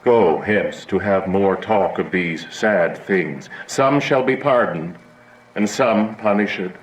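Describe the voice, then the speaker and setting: Man acting a stern, low-voiced commanding prince. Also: slow. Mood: somber. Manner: authoritative, declamatory, formal. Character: stern commanding prince judging feuding families. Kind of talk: Shakespearean audio drama acting. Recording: echoey room, audible background hiss.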